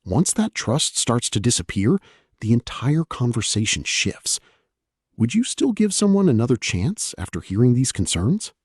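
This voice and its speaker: A man's voice: mid-range voice, very animated, normal speed, darkish tone, breathy edge, dry recording. A man reading scripted dramatic commentary as a narrator, recorded as a close voice-over. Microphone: close, dry voice-over.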